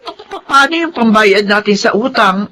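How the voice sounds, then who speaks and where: A woman's voice: medium pitch, lively intonation, unhurried, pushed and bright, a little hiss or hum. A woman playing a worried, fussing mother of a poor family in a Tagalog radio drama, fretful and exclamatory.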